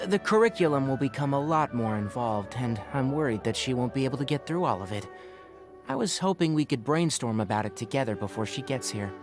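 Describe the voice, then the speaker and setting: A man's voice: mid-range voice, expressive, fairly quick, lightly acted. A man voicing an earnest, worried young tutor in video game dialogue, speaking politely and expressively. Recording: game music behind the voice.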